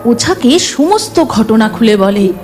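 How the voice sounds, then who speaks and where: A woman's voice: a little high, big pitch swings, takes it slowly. A woman narrating a cartoon story in a dramatic, scripted storytelling style. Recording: music under the voice.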